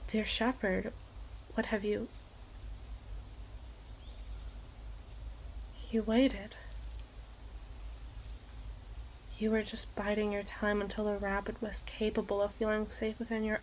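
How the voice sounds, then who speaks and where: A woman playing an obsessive, eloquent evil queen in a dramatic ASMR roleplay monologue, with deliberate, theatrical phrasing and pauses. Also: medium pitch, slight background noise, lightly acted. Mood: tender, haunted.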